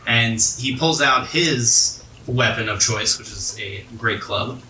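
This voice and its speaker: A man's voice: medium pitch, slow pace, pushed and bright. A man acting as game master, narrating a tabletop game to players in a conversational, descriptive storytelling way. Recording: echoey room, far from mic, music behind. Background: music.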